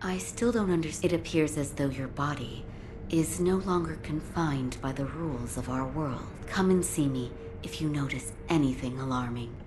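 A woman playing a composed, gentle physician in a video game, soft-spoken, formal and quietly wry. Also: low-pitched, normal speed, background noise, natural voice. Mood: serious, thoughtful.

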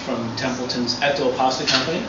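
A man's voice: medium pitch, fairly quick. Middle-aged man, chef at a patio demo, casual and eager. Distant, echoey, muffled sound with a hiss of outdoor noise. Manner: relaxed, enthusiastic explaining with restarts. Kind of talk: cooking demonstration. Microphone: far camera. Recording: echoey, distant, dull, noisy outdoor audio.

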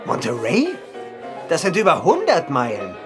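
An actor in a German audio drama playing a boastful, stubborn runner in a theatrical, exclamatory manner. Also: male voice, fairly high pitch, wildly swooping pitch, moderate pace, music in the background. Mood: indignant.

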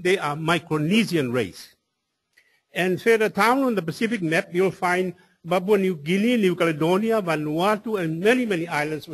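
A man from Tonga with a Tongan accent and a husky voice, giving a public presentation. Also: high voice. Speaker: presenter at a community meeting.

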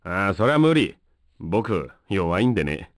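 A low-voiced, languid male fighter from an anime fighting game. He drawls slowly with playful, teasing false modesty, in a dry recording. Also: big pitch swings, no echo, heavily acted. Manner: laid-back, smooth teasing.

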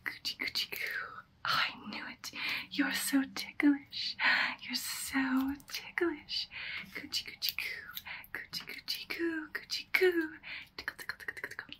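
A woman's voice: high voice, steady tone, a little slow, bright, forceful tone, voice pushed up. A young woman playing a gleefully sadistic masked killer in an ASMR horror roleplay, whispering breathily and teasingly. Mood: gleeful taunting. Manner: teasing, taunting, singsong repetition. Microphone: close ASMR microphone.

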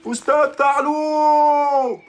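A woman voicing a sleepy, grateful male koala in an animated children's story, speaking slowly and drawn out. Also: faint music behind. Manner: slow, drawn-out and high.

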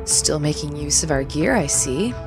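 A woman's voice: deep voice, expressive, moderate pace. A woman playing a ruthless middle-aged female general who gives orders in a commanding, cruel way. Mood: cold. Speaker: military general. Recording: music bed underneath.

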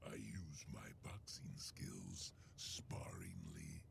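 An ancient demonic turtle warrior from a video game, imperious and full of menace. The voice is a heavy gravelly growl, very slow, with pitch that swings wildly. Clear game noise sits behind it. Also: male voice, very animated, in a whisper, full character voice. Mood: menacing, contemptuous. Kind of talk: video game character voice lines.

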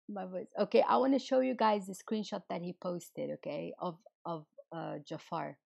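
Hoarse, indignant woman ranting on a livestream. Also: on the low side, fairly even intonation, a little slow, darkish tone, dull audio. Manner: indignant, asks viewers rhetorical questions. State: hoarse, losing her voice. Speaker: livestream host. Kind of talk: heated casual livestream rant.

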